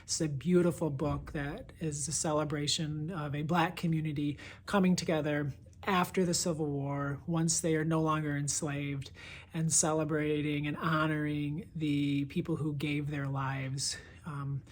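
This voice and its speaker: A woman's voice: low-pitched, flat delivery, measured pace, slight background noise. A woman presenting casual book reviews in an effusive, conversational, enthusiastic way.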